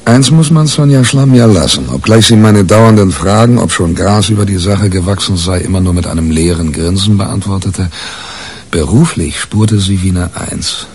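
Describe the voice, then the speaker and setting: Wry, deep-voiced male narrator. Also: normal speed, darkish tone. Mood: sardonic. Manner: sardonic, colloquial. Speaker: narrator and main character. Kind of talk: German radio crime drama, first-person narration.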